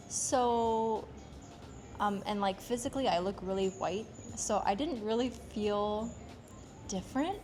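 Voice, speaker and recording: A young American woman answering interview questions casually, with many fillers and hesitant phrasing. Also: a little high. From United States. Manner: soft-spoken, filler-heavy, hesitant phrasing. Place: outdoors on a street in Japan.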